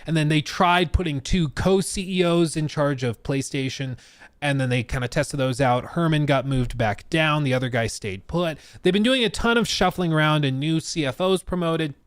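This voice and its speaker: A man's voice: fairly high pitch, normal speed, clean tone, no room sound, clean studio sound. A man hosting a casual livestream about gaming news, talking in an informal, slangy, chatty way. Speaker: podcast host.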